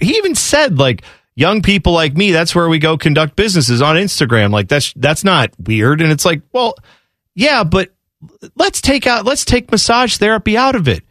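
A man's voice: a little high, big pitch swings, normal speed, a bit bright, no room sound, clean studio sound. Man arguing on a sports talk show. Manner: conversational, argues point by point with everyday examples. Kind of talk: casual sports talk debate.

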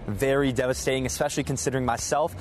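A man's voice: medium pitch, steady tone, very fast. Young male student interviewee, earnest, outdoor street noise. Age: young adult.

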